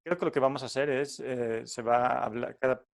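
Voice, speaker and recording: A man from Ecuador giving friendly but halting logistics instructions on a video call, with many fillers. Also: medium pitch, steady tone, vocal fry. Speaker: tech support and co-host.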